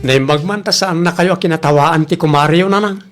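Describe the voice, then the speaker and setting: Actor playing nagging grown kid in an ilocano comedy drama, blunt, medium pitch, ilocano accent. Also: fairly quick, over music, lightly acted. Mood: scolding. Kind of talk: Ilocano comedy radio-style drama acting.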